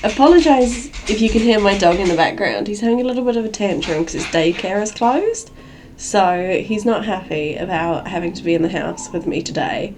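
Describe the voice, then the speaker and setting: A woman hosting a solo podcast, chatty and rambling, her voice gravelly and croaky from a cold. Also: medium pitch, expressive, a little fast, background noise. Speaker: podcast host.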